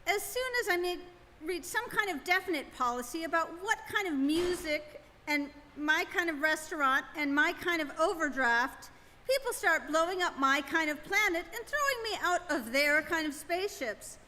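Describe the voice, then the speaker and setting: A man's voice: very high voice, expressive, moderate pace, bright, forceful tone, heavily acted. An actor playing a bewildered, hapless Earthman, plaintive and apologetic, in a live radio play. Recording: noisy reverberant hall live stream.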